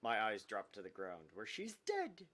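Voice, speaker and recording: A man's voice: on the low side, wildly swooping pitch, normal speed, sharp tone, full character voice. Man voicing an anguished, vindictive visual novel protagonist, swinging wildly. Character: anguished, vindictive, obsessive young man narrating inner monologue. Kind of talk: dramatic visual novel read-aloud.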